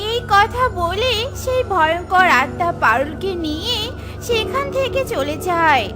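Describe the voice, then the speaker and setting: A woman's voice: extremely high pitch, very animated, measured pace. A woman narrating a children's cartoon story from a script. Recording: music under the voice.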